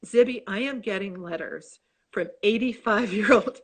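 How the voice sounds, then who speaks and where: A woman's voice: medium pitch, moderate pace, husky. A woman in her sixties with a Chicago accent, an author talking eagerly and in rambling restarts over a video call. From Midwest United States. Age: 60s. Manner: enthusiastic, rambling, many restarts. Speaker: author guest. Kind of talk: casual video chat interview.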